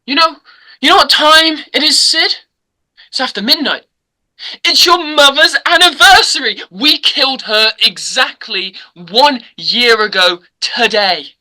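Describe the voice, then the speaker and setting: Woman doing a psycho young slasher guy, bright edgy voice, slow mocking taunts, horror table read. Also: high voice, expressive, full character voice, poor audio. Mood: taunting. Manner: halting, drawn-out, taunting delivery. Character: unhinged young male slasher killer, mocking and menacing. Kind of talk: dramatic script table read.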